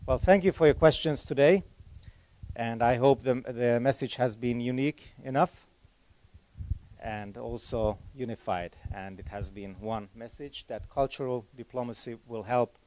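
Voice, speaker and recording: A man's voice: medium pitch, normal speed, a little mellow. Man answering at a conference. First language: Hungarian. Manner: chatty, rambling, self-correcting. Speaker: conference speaker. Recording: dull lo-fi conference recording.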